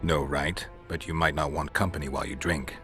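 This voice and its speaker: A man playing a stoic, wry mercenary monster hunter in a fantasy video game, terse and deadpan. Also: a bass voice, brisk, heavily acted. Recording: music behind the voice.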